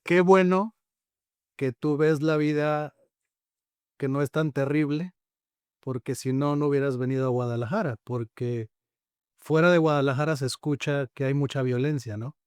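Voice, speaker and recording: A Mexican man, native in Spanish, interviews a guest in a conversational style. His voice sits a little high and is clear and resonant. The recording is dry, with little room sound. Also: fairly even intonation, brisk, a little mellow, no room sound. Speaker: podcast host, interviewer.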